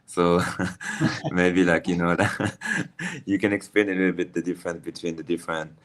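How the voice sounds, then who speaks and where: A man's voice: low-pitched, normal speed. A man hosting a casual interview over a video call, repeating phrases with loose grammar. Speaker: host.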